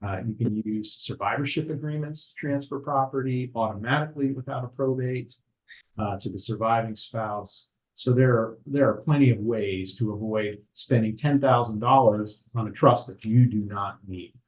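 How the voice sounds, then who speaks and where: A man, an attorney, explaining legal matters in a calm, measured way during a podcast conversation. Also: mid-range voice, low-quality recording. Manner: measured, explanatory, frequent "right?" tags. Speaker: estate attorney.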